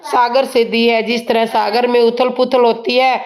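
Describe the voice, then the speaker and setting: A woman tells a devotional story at an unhurried pace, humble and earnest. Her throat is sore, so the voice sounds hoarse. Her pitch sits a little high but barely moves, keeping the telling even and steady. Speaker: storyteller of religious tales.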